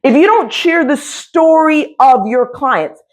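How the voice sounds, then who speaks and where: An American woman giving a motivational coaching talk to camera, speaking emphatically and deliberately with dramatic pauses and repetition. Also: high-pitched, animated. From United States. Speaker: business coach and host.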